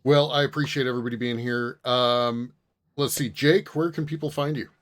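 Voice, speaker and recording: A man's voice: medium pitch, fairly even intonation, normal speed. A man hosting a podcast in casual chat, talking in a hesitant, meandering way. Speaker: podcast host.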